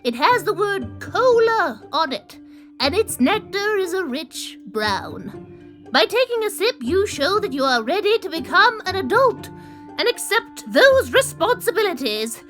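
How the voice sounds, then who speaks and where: A woman's voice: extremely high pitch, sing-song, measured pace, a bit bright, faint music behind, exaggerated voice. A woman voicing a fussy, grandiose flying squirrel leader in a theatrical, ceremonial way for a children's audio drama. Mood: grand, ceremonial.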